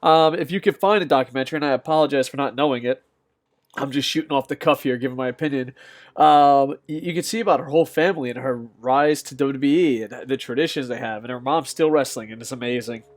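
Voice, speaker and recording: A young man with a slightly squeaky voice, rambling through off-the-cuff wrestling news commentary with lots of fillers and restarts. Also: quick pace. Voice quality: a bit squeaky.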